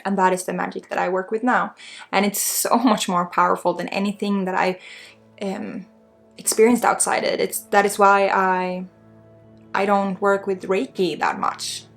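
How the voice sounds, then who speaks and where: A woman with a Swedish accent giving unscripted spiritual guidance in an ASMR healing session, earnest and encouraging, with halting phrasing. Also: mid-range voice, steady tone, vocal fry, faint music behind. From Sweden. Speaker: spiritual guide and energy healer.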